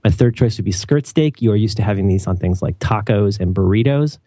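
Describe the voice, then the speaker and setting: A man hosting a casual chat, animated and opinionated, joking often and restarting his sentences. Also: lowish voice, brisk, no echo. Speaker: podcast host.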